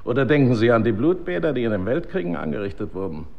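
A man's voice: mid-range voice, very animated, fast, creaky, highs cut off. A man acting in a German radio drama as a pragmatic company boss, formally polite, insistent and persuasive. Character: pragmatic, persuasive male boss of a chemical weapons works.

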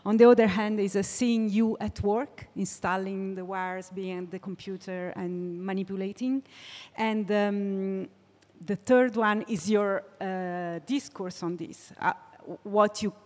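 A woman's voice: medium pitch, steady tone, measured pace, a little mellow. Hesitant woman moderating a panel. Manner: hesitant, many fillers, careful phrasing. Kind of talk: panel discussion questions. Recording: long hall reverb.

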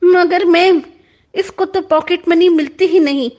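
Woman putting on a high-pitched, tattling schoolkid. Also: steady tone, unhurried, a little mellow. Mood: scornful, tattling. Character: scornful, innocently tactless fifth-grade schoolchildren. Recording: dull lo-fi recording.

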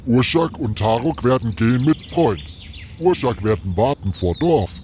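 A man acts out a solemn orc of a fantasy audio drama, bound to tradition. His voice is dark and mellow, his phrasing terse and broken. Birdsong and ambient noise sit clearly behind him. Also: mid-range voice, expressive, moderate pace, natural voice. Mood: grave, resolute. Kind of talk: fantasy radio play acting.

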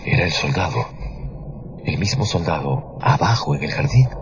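An actor playing a haunted survivor who narrates his ordeal in a radio drama, halting and suspenseful. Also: very deep voice, expressive. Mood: hushed, uneasy. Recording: dull sound under loud music.

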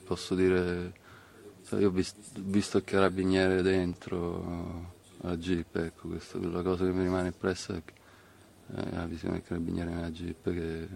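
A man giving eyewitness testimony in a halting way, with pauses and repeated starts. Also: low-pitched, creaky, darkish tone. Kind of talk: spoken testimony.

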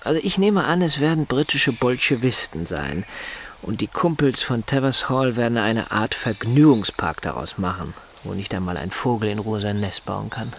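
An actor in a radio drama playing a proud, bitter, paralysed upper-class husband, speaking in an articulate, sardonic, condescending way. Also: male voice, fairly low pitch, animated, normal speed. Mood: scornful, gloomy. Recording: chirping insect noise behind the voice.

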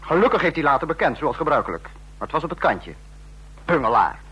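Sarcastic dictator, husky, slightly high voice, biting, domineering, radio drama. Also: male voice, sing-song, fast, dull audio, exaggerated voice. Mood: scornful anger. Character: menacing male dictator, sarcastic, scolding his subordinates.

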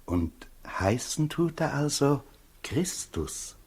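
Very husky, slow humble shepherd. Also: male voice, mid-range voice, sing-song, darkish tone, heavily acted. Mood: shy, friendly. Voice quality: very husky, breathy. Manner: slow, modest. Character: humble, wondering shepherd bearing a fleece. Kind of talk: 1960s German radio play acting.

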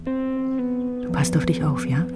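Low voice, worried loving girlfriend, gentle reproach, intimate radio drama, loud guitar music. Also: fairly even intonation, slow pace. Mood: tender, concerned.

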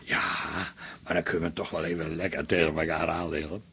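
Husky, grumbling middle-aged husband. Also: male voice, very deep voice, level tone, a little fast, a bit bright, exaggerated voice. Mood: coaxing, drowsy. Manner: blunt, grumbling, tactless. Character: tired, blunt, bewildered husband and son-in-law. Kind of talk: radio drama acting.

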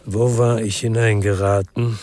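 A man's voice: lowish voice, level tone, unhurried, darkish tone, close to an everyday voice. An actor playing a world-weary male ex-policeman who narrates his own crime story in a sober, laconic way for radio drama. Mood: brooding.

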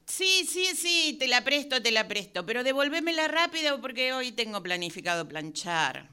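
A woman's voice: high-pitched, very animated, normal speed, bright, forceful tone, clean tone, exaggerated voice. A woman with a Rioplatense accent playing a curious, suspicious neighbor in an amateur radio drama, muttering asides under her breath. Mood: friendly. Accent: Rioplatense Spanish. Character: curious neighbor woman Rosy, wary and nosy.

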